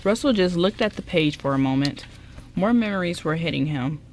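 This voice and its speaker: A woman's voice: on the low side, animated, brisk, a little mellow, slight background noise. A woman reading scripted narration for an amateur radio drama as its narrator.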